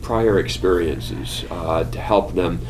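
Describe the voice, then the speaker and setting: Hesitant male interviewer, slightly low voice. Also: takes it slowly, a little mellow, slight background noise, rough recording. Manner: hesitant, rephrases as he goes. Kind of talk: unscripted interview questions and comments.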